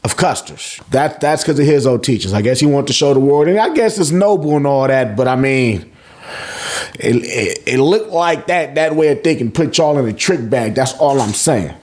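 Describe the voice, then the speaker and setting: A man with a fairly high voice hosts an animated, casual story recap. He talks in loose, profane slang and acts out reactions as he retells things. Words often come out in repeated bursts before the sentence moves on. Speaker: host of a manga review channel.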